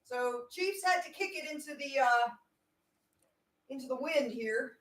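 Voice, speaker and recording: A woman making chatty, quick casual remarks while watching a football game. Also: high voice, lively intonation, a bit bright, far from the mic. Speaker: viewer chiming in beside the host. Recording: echoey room, off the mic.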